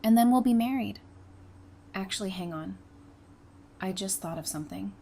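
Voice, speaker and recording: A woman's voice: mid-range voice, moderate pace, creaky, mellow. A woman playing an affectionate, playful survivor in an audio drama, casual and teasing in her delivery.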